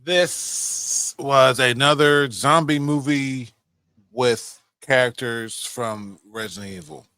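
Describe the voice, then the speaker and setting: A man with a bright, punchy voice chats as a podcast co-host. He is informal and slangy, doubling back on phrases, in a very dry recording. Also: medium pitch, takes it slowly, clear, resonant tone, dry recording. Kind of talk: casual movie discussion chat.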